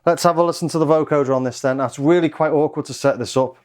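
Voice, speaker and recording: A man's voice: on the high side, quick pace, darkish tone. A man giving a casual hands-on music gear demo, thinking aloud in an offhand way. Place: home music studio.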